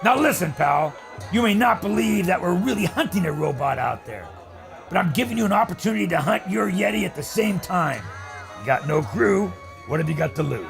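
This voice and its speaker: A man plays a brash, high-voiced filmmaker in an adventure radio serial. He swoops excitedly through his lines with a gravelly edge, bossy and boastful. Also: a little fast, soft background music, full character voice. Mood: eager, pushy. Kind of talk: old-time adventure serial radio acting.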